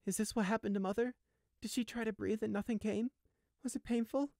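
A man's voice: extremely high pitch, a little slow, darkish tone, dry recording, studio quality, voice pushed up. A man voicing a small, frightened young male sheep in a story, stammering and whimpering with grief. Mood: anguished, fearful. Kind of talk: storybook character acting.

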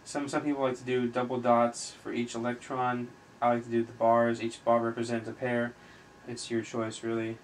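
A man giving an informal chemistry tutorial, explaining step by step in a halting way as he thinks aloud while writing. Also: mid-range voice, completely flat delivery. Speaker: chemistry tutor, video host. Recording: noisy amateur recording with room ambience.

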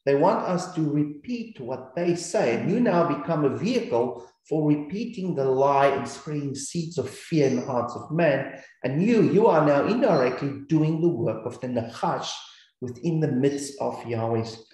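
A man with a New Zealand accent teaching scripture, his voice dark and mellow. He speaks in an earnest, repetitive teaching cadence. The recording sits in a very echoey, hollow-sounding room. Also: a little high, lively intonation, a little slow. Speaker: Bible teacher. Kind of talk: scripture teaching over slides.

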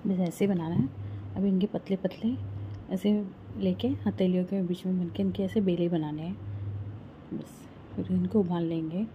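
A woman's voice: lowish voice, steady tone, normal speed, long pauses, muffled recording, a little hiss or hum. A woman from Rajasthan, India, talking a viewer through a home recipe step by step in her kitchen, recorded on a phone held close. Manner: homely, explains step by step. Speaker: home cooking vlogger. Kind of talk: casual cooking walkthrough.

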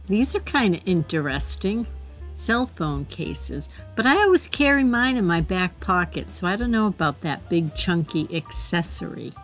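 A woman's voice: fairly low pitch, big pitch swings, moderate pace, faint music behind. A woman in her forties narrating a casual shopping vlog, chatty and enthusiastic as she talks to her viewers. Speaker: shopping vlogger.

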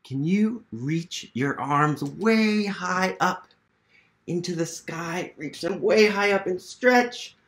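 Slow-paced American man reading to children. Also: high-pitched, fairly bright tone. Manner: encouraging, asks questions and pauses for young listeners. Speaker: museum literacy educator hosting a children's storytime. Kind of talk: interactive read-aloud for young children. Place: small living room at home, indoors.

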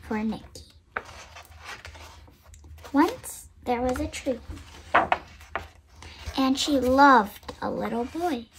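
A nine-year-old girl reading a children's picture book aloud, carefully and a little haltingly. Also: high voice, noisy background. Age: child, 9 years old. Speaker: book reader and show host.

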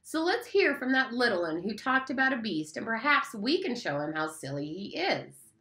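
A woman's voice: fairly high pitch, very animated, normal speed, pushed and bright, pitched above the performer's own voice. Woman doing a prim, fussy boy lecturer. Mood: lecturing. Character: fussy, earnest boy with glasses.

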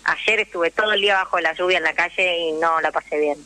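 A woman's voice: fairly low pitch, brisk, bright, forceful tone, dry recording. A woman with a Rioplatense Argentine accent, speaking conversationally and explaining at length as a guest in a radio interview. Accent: Rioplatense Argentine Spanish. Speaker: guest, actress and radio drama teacher.

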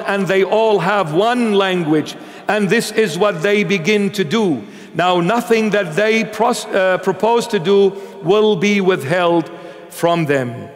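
A middle-aged man from Iraq, a bishop giving an emphatic sermon with Bible reading. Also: high voice. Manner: emphatic, gesturing, animated preaching. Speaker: bishop preacher. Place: large church sanctuary, seated at altar. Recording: long church reverb.

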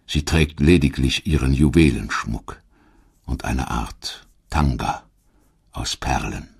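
A man reading a scripted audio documentary in a measured, dramatic storytelling manner, with a husky voice. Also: a bass voice. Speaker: documentary narrator. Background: background music.

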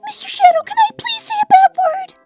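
A woman's voice: very high-pitched, brisk, a little mellow, soft background music. A woman voicing a sweet, polite little girl rabbit in an earnest, childlike way for a cartoon comic dub. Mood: pleading.

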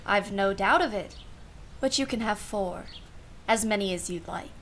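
A woman's voice: mid-range voice, moderate pace, fairly bright tone, close to an everyday voice. A woman playing a wry, genteel aunt in a radio play, musing in an arch, formal way. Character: wry, genteel aunt, self-professedly unsentimental.

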